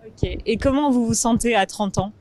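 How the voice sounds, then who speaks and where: A woman asks passers-by questions outdoors in a friendly way. Her voice sits fairly high. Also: normal speed, a little mellow, slight background noise. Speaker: street interviewer. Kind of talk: street interviews.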